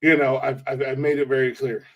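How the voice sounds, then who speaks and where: A man's voice: mid-range voice, flat delivery, a little fast, a crowd in the background. A man in his forties hosting a casual podcast, rambling and restarting sentences, laughing at his own jokes. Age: 40s. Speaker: podcast host.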